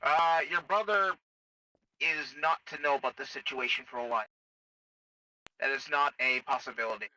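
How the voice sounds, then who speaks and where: A man's voice: medium pitch, normal speed, pauses often, sharp tone, no room sound, recorded from a distance, poor audio. A man playing a stern technical officer in an online game roleplay, explaining things in a matter-of-fact, clinical way. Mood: firm, explanatory.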